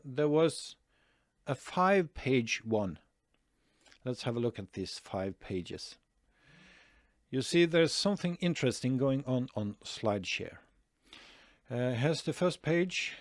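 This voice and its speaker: A man's voice: medium pitch, no echo, studio quality. A Norwegian man talking through a screen-share tutorial, hesitant, with many fillers and restarts. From Norway. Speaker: online marketing tutor.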